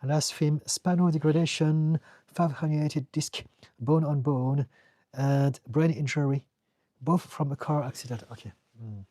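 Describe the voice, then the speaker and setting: A man's voice: fairly high pitch, fairly even intonation, a little slow, warm tone, no echo. A man with a French accent, a therapist answering viewers' questions on a live stream in an earnest, direct way, sometimes repeating words as he searches for them. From France. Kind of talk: live-stream Q&A, casual advice.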